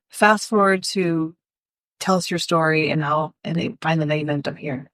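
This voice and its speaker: Low-voiced enthusiastic woman host. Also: normal speed, a bit bright, dry recording. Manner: enthusiastic, rambling, with frequent restarts. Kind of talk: casual interview chat.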